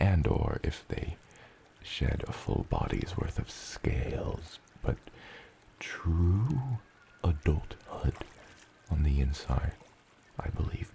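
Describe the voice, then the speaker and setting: A man voicing a gentle, doting father dragon in an ASMR roleplay, murmuring slowly and tenderly and often dropping to a whisper. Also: slight background noise, exaggerated voice. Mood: reflective, wise. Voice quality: very deep, gravelly. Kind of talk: ASMR roleplay, addressing the listener as his child.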